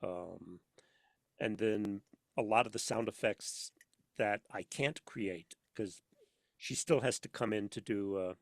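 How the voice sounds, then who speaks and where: A man chatting casually as an interview guest, telling anecdotes in an animated way and acting out bits of dialogue. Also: lowish voice, slow pace, no room sound, clean studio sound. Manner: animated storyteller, acts out imagined dialogue. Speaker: podcast guest, sound designer for audio dramas. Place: inside a studio.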